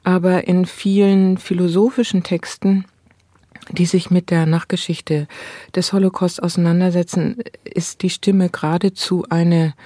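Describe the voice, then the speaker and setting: A woman scholar giving an interview. Her voice is mellow and soft-edged, recorded dry and close, and she explains things in long, considered, academic sentences. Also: fairly low pitch, no echo. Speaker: interviewed cultural studies scholar.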